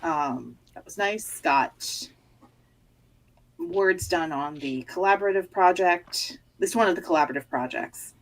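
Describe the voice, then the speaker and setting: A woman's voice: fairly low pitch, measured pace, rough recording. A middle-aged woman co-hosting a podcast, chatting casually with lots of restarts and fillers. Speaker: podcast co-host. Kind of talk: casual chat.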